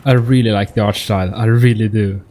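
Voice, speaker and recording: A man's voice: lowish voice, steady tone, brisk, warm tone. A man giving casual game commentary as a YouTuber, thinking aloud in a loose, repetitive way. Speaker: gaming YouTuber. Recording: faint background noise with game sound.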